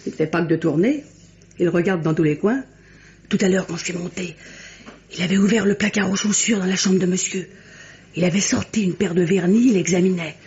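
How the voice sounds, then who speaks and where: An actress playing a chatty, saucy, coquettish young French chambermaid in a vintage radio mystery. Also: female voice, fairly low pitch, a little fast, darkish tone, natural voice. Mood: admiring, gossipy. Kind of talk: mid-20th-century French radio mystery acting. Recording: muffled vintage radio recording.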